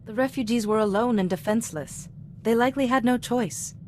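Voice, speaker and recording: An actress playing a calm, principled female Jedi mentor in a video game, speaking in a measured, formal, instructive way. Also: fairly high pitch, a little hiss or hum, lightly acted. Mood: firm, principled. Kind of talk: scripted video game voice acting.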